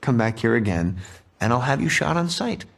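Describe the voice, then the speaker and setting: An actor playing a smug, cold corporate executive, condescending and controlled, in a video game cutscene. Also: male voice, mid-range voice, sing-song, moderate pace, vocal fry, a bit bright, exaggerated voice. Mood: cold threat.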